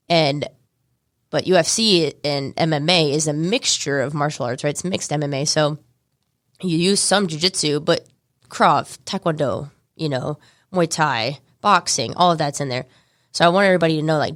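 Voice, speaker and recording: A young woman with an American accent, a martial arts studio owner chatting as a radio guest in a studio, telling stories quickly. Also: sits low, dry recording. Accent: American, Georgia. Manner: chatty, quick storytelling with laughs. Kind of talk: casual interview chat.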